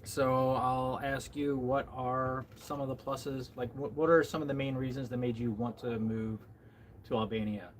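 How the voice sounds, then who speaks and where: An American man of middle pitch hosts a relaxed live stream. He talks in a loose, rambling way full of fillers and restarts. A faint background ambience sits under his voice. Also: normal speed, slight background noise. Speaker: livestream host. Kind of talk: casual live chat.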